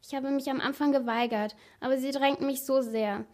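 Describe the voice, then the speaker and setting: A woman's voice: high voice, fairly even intonation, fairly quick, darkish tone, pitched above the performer's own voice, natural voice. A woman voicing a nervous young teenage schoolgirl who confides earnestly in an audiobook story. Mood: confiding, pleading. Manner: earnest, confiding, half-whispered. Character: excited, nervous schoolgirl of about thirteen, secretive.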